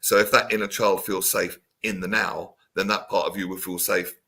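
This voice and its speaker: A man hosting a talk show, speaking in a gentle, reflective and supportive way. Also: low voice, level tone, normal speed, slightly rough. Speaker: host. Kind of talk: casual talk show chat.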